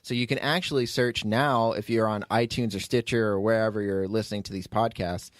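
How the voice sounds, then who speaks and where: A man's voice: fairly low pitch, steady tone, a little fast, dry recording, clean studio sound. A man hosting a podcast, chatting casually and explaining things in a rambling way as he plugs shows. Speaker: podcast host and producer.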